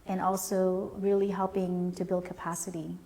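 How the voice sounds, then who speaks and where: Measured woman, echoing hall. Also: fairly low pitch, little pitch movement, dark tone. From born in Cambodia, raised in the United States. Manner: measured and polite, many "um" pauses. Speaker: guest, company founder and CEO. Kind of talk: interview answers. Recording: long hall reverb.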